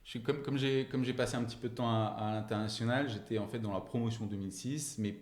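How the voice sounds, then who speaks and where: A man's voice: medium pitch, steady tone, fast talker, a little mellow. A man in his late thirties answering casually as an interview guest, hesitating and repeating words as he thinks. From France and Germany. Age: late 30s. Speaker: company founder, podcast guest. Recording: roomy, echoing interview sound.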